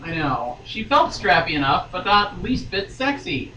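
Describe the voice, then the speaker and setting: A woman's voice: lowish voice, big pitch swings, unhurried, bright, forceful tone. A woman reading a story aloud in a wry, theatrical way, breaking off for mocking asides. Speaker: podcast guest reading a novel aloud. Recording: roomy, distant mic, faint noise.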